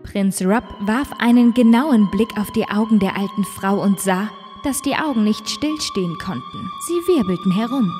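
A woman narrating a children's fairy-tale cartoon in a measured, clear way. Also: mid-range voice, expressive, in a large hall, over music.

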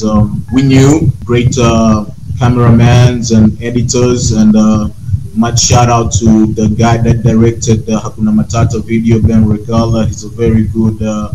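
A Tanzanian-born man whose first language is Swahili, talking casually on a video call in an unhurried way with many fillers. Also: medium pitch. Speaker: guest musician. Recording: loud noisy video call line.

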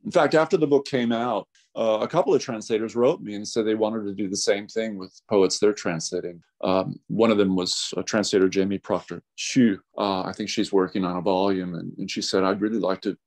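A man's voice: on the low side, darkish tone. A man talking casually as an interview guest, rambling and thinking aloud as he explains. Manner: rambling, thinking aloud, frequent "you know". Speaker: translator, podcast guest.

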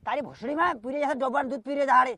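A woman's voice: sits high, fast, crowd noise. A woman in a heated argument on a phone call, scolding abusively and repeating herself.

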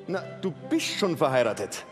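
A jovial man hosting a TV show, his voice lively and swinging in pitch as he banters and laughs. He talks on a headset mic on a large studio stage, with band music clearly heard behind him. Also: moderate pace. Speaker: TV show host. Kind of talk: scripted comedy banter on a TV stage.

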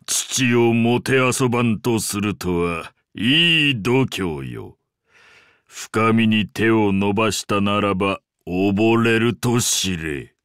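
A man voicing an ancient, imperious sea-god father in a Japanese game, speaking in a pompous, archaic and deliberate way with grand indulgence. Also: low-pitched, fairly bright tone, no echo, clean studio sound, exaggerated voice. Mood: amused, indulgent. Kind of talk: Japanese game character voice acting.

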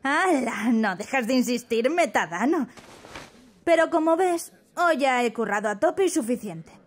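A woman with an accent from Spain voicing a bubbly, teasing high school student in an anime fan dub, breezy and slangy. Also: lively intonation, a little slow. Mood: nonchalant. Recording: music bed under voice.

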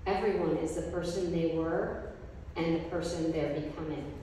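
A middle-aged woman reading a group poem aloud from paper pages at a gallery event, in a slow, measured recitation. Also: low-pitched, steady tone, distant mic, noisy background. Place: art gallery, indoors, mid-sized room. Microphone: handheld mic, filmed from a distance. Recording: echoey gallery room, from afar.

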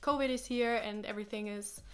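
A woman's voice: medium pitch, steady tone, fast talker, clean tone. A young woman whose first language is German, chatting casually and answering questions in her living room, full of fillers and acted-out quotes. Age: young adult. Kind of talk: casual chat. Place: small living room with sofa, indoors.

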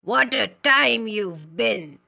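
A woman's voice: fairly high pitch, lively intonation, takes it slowly, vocal fry, pushed and bright, full character voice. A woman doing a bossy scolding cook. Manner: scolding, snappish. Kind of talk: storybook reading.

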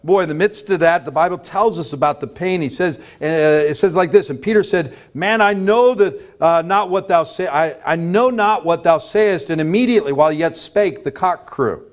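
High-pitched American man preaching a sermon. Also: normal speed, hardly any pauses. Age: middle-aged. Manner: emphatic, repetitive, asking rhetorical questions. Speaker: pastor preaching. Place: church sanctuary, at a pulpit before a congregation.

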